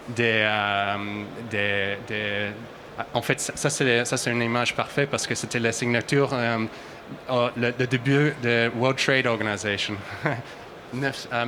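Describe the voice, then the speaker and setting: A man from Australia speaking with an Australian English accent as a researcher on a conference panel, halting and restarting often as he searches for words. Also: mid-range voice, pushed and bright, a little hiss or hum. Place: conference hall.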